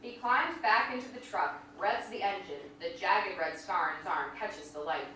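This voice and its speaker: Bright-voiced woman reading stage directions, echoey. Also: mid-range voice, normal speed. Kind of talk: scripted narration of stage directions. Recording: distant, very echoey room, faint noise.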